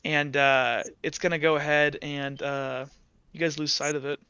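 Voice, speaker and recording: A man running a tabletop roleplay as game master, narrating in a halting, improvised, descriptive way. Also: a little high, little pitch movement, sharp tone, smooth, no echo, lo-fi sound.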